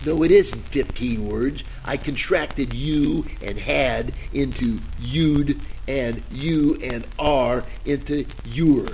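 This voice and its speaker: A man's voice: medium pitch, takes it slowly, hardly any pauses, a little mellow, slight background noise, low-quality recording. A man playing a hard-luck, bumbling tough-guy detective with a wry, hard-boiled delivery in an old-time radio detective style. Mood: tough, puzzled. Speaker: narrator and lead character. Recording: music under the voice.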